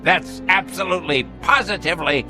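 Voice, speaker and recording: An actor playing a dying, rich old patriarch, bitter and commanding, speaking with theatrical, biting deliberation in a radio drama. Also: male voice, sits high, fairly quick, pushed and bright, faint music behind. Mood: threatening. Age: older.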